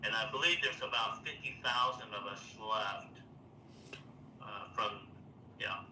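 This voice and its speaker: Older guy from taiwan chatting on a live stream, very bright tone, echoey room, mic kinda far off. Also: fairly low pitch, a little slow. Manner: rambling, many fillers and restarts. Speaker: livestream host. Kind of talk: casual livestream chat. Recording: roomy, distant mic, faint noise.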